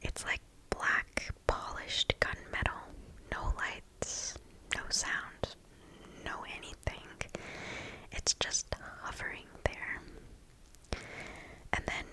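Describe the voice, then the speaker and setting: ASMR storyteller, female. Hushed whisper throughout, unhurried and deliberate, right up on the mic. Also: low-quality recording. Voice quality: breathy unvoiced whisper. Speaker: ASMR host reading listener stories. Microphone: close microphone.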